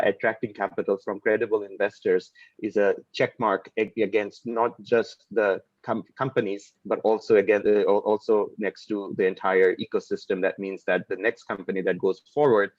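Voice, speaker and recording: Man, low voice, flat delivery, dry dull call audio, panelist, stumbling restarts. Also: moderate pace, dry recording. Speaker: panelist, company executive. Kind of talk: webinar panel talk.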